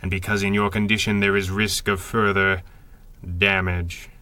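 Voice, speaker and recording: Stern masked crime fighter, bright forceful voice, formal commanding delivery, 1930s radio drama style, dry sound. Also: male voice, low-pitched, flat delivery, moderate pace, no room sound. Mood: stern, pressing. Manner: formal, authoritative, pressing.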